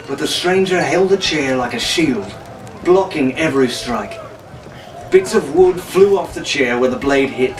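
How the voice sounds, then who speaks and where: A man narrating an adventure story in a dramatic, scripted audiobook style. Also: medium pitch. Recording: roomy, distant mic, faint noise.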